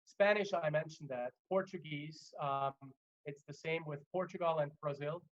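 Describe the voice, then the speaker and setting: Male lecturer, German native, slightly high voice, measured with fillers, muffled webinar sound. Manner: measured, many fillers and restarts. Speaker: legal trainer. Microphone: video call.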